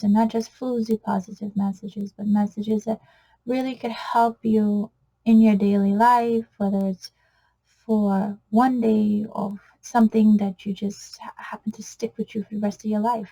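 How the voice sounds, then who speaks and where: A woman with a Trinidadian accent, giving a gentle spiritual card reading in an earnest, soft-spoken way that often drops to a whisper. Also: medium pitch, flat delivery, moderate pace, breathy edge, rough recording. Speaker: oracle card reader.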